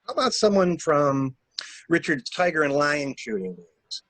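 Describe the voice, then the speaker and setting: A man plays a shrewd, wry stranger in a murder mystery, pressing insistently for answers. His slightly high voice swings in a lively way, recorded close and dry. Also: unhurried, no echo. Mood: wry, calculating. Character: shrewd, wry male stranger coaching a new widow. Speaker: library staff reader. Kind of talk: dramatic reading of a murder mystery.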